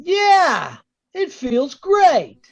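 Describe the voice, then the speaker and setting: A woman's voice: very high voice, wildly swooping pitch, very slow, full character voice. A woman playing a tough, cocky small-time crook in an old-time radio drama, with slangy, bossy delivery.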